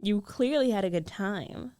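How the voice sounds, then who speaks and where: A woman's voice: mid-range voice, lively intonation, measured pace, warm tone, no room sound, lightly acted. Woman acting a jealous roommate, close and dry. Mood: worried, scolding. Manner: emotional, conversational, pleading and protesting. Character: jealous, defensive young woman roommate secretly in love. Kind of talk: girlfriend ASMR roleplay acting. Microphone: close studio microphone, dry.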